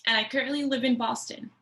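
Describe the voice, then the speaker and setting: A woman's voice: a little high, a little fast, low-quality recording. A woman in her twenties from Morocco, talking casually to students with plenty of fillers and restarts. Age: 20s. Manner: relaxed, with many fillers and restarts. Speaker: guest speaker for an education program.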